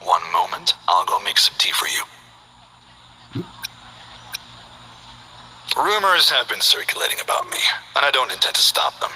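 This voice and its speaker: A man voicing a calm, polite male gym owner who speaks softly and half-whispers in a video game. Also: on the low side, husky, full character voice, low-quality recording. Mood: gentle. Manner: soft-spoken, measured, half-whispered.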